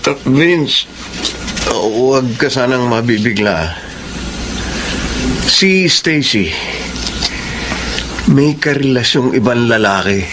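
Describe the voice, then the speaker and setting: A man's voice: mid-range voice, animated, slow, pauses often, noisy background. A man acting a cautious, earnest young friend in a Filipino radio drama, with careful, hesitant delivery. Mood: cautious, serious.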